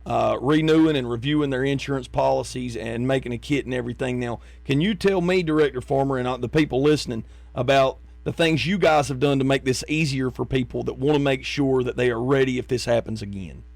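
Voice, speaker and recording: A man's voice: mid-range voice, a little fast, vocal fry, few pauses, a little mellow, dry recording. A man with an American accent, conducting a conversational interview as host.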